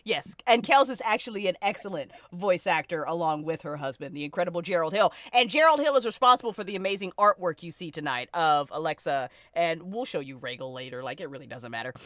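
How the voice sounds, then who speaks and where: Female host, bright and dry-recorded, playful and slangy in relaxed group banter. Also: lowish voice, fast talker, hardly any pauses, no echo. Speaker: host of a podcast listen event. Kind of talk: casual group chat.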